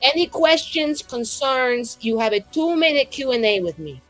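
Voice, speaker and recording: A fierce but fragile woman adventurer, improvised by a woman player in a tabletop game. She speaks in a high, casual voice and rambles dramatically, with self-deprecating humor. Faint music plays behind her. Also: lively intonation, moderate pace, a bit bright, rough recording. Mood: defensive, joking. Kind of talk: in-character improvised roleplay.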